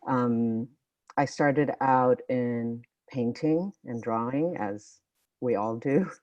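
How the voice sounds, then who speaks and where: Woman in her forties, deep low voice, slow and thoughtful, pauses often, dry muffled video call audio. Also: a little mellow, dry recording. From Ethiopia. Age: 40s. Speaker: artist guest. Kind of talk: reflective panel conversation.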